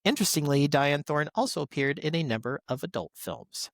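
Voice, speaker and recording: A man's voice: a little high, lively intonation, a little fast, no echo, studio quality. A man hosting a podcast, reading out tributes in a conversational, stop-and-start way with casual asides. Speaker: podcast host.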